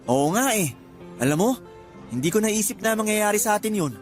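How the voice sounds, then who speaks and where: A male actor playing an upbeat, loyal young suitor in a Filipino radio drama, chummy and animated. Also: high-pitched, fairly quick, faint music behind.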